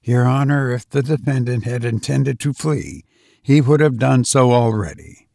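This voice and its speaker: A man's voice: mid-range voice, normal speed, gravelly, dark tone, dry recording, clean studio sound. A man voicing a seasoned veteran male defense attorney, composed and formal, in an audiobook. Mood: composed, firm.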